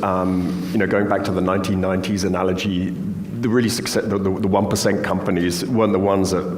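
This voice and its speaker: A man with a lowish voice moderates a panel on a conference stage, putting long, friendly questions to the speakers and restarting phrases as he goes. His voice carries a long reverb from the hall. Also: darkish tone, a little hiss or hum. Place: conference stage before an audience.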